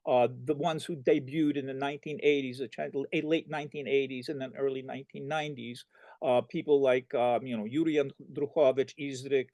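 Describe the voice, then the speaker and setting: A man, a professor, moderating a public conversation, talking in quick asides full of qualifiers and self-corrections. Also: medium pitch, fairly even intonation, darkish tone, dry recording.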